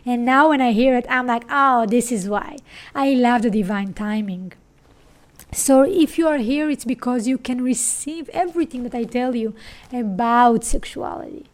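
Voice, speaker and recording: Israeli woman, high voice, podcast host, emphatic and spiritual monologue. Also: moderate pace. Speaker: sex coach and podcast host. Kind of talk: personal monologue.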